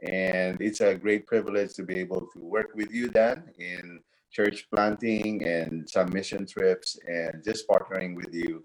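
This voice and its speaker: A man's voice: low voice, fairly even intonation, a little slow, poor audio. A man in his fifties, a pastor talking in a pious, filler-laden way in a casual chat. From Philippines. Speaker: pastor working with church planters. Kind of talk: casual video-call chat.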